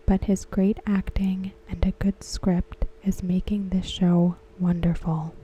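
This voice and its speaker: A woman whispering softly and unhurriedly in an ASMR style, talking about a TV episode. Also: mid-range voice, husky, rough recording. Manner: soft unvoiced whisper throughout. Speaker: ASMR creator discussing a TV episode.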